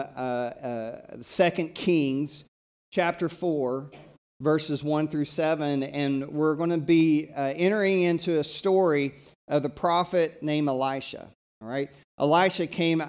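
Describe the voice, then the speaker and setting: A middle-aged pastor from East Tennessee, his voice sitting a little higher than most men's. He preaches in a chatty, energetic style and keeps calling on the congregation to answer back. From East Tennessee, USA. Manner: conversational, energetic preaching that invites call-and-response. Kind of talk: live church sermon.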